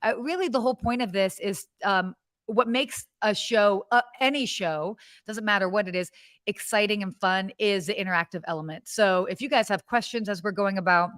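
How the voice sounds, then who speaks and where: Woman, American, 30s, bubbly live-show co-host, chatty with fillers, mid-pitched voice. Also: normal speed, fairly bright tone, no room sound, clean studio sound. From United States. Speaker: podcast co-host. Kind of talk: casual live-show chat.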